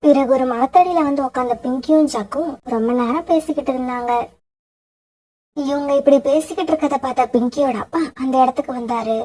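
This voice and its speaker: A woman's voice: high voice, a little fast, warm tone. A woman narrator reading a scripted children's story.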